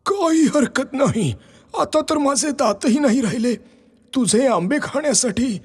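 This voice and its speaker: A man's voice: very high-pitched, lively intonation, measured pace, husky, big-room sound, pitched above the performer's own voice, full character voice. A man voicing a weary, toothless old man in a children's story, with a plaintive delivery. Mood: tired, resigned. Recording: music behind the voice.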